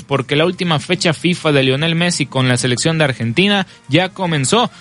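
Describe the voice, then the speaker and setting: A man reading a scripted sports news bulletin as the anchor, in a brisk, measured newsreader delivery with time checks. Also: on the high side, fairly bright tone.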